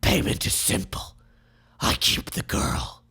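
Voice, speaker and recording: A man voicing an angry, vengeful villain tycoon in a forceful, menacing, dramatic way for a comic read aloud. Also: takes it slowly. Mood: cold, menacing.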